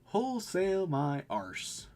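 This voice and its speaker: A man's voice: sits high, huge pitch swings, slow, background noise, voice pushed up, exaggerated voice. A man reading as a stressed, snappy cafe owner. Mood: indignant. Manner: irritable, blunt. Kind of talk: visual novel dialogue read aloud.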